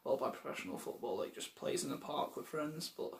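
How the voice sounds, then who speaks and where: A young man hosting a spoof news show, soft-spoken in a mock newsreader style. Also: on the high side, lively intonation, fast talker, vocal fry, roomy sound, recorded from a distance. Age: young adult. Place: small room indoors.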